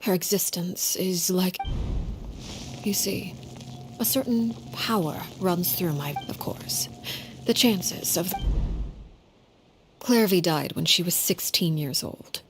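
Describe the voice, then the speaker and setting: A woman voicing a cold, composed and menacing guardian in a video game, speaking in a measured, formal, ominous manner. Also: on the low side, noisy background. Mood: solemn, composed. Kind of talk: video game voice acting, storytelling dialogue. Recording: music behind the voice.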